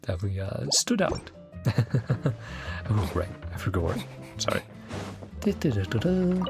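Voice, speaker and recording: A young adult woman with an extremely deep, gravelly voice chats through a game reaction. She hums along and reads lines aloud with a lively, swooping pitch. Game music plays clearly under her. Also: a little slow. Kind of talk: casual reaction commentary. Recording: game music playing behind.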